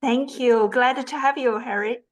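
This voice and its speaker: A woman's voice: sits high, fairly even intonation, measured pace. A woman hosting an online class over a video call, welcoming students in an encouraging, lightly teasing way. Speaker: class host.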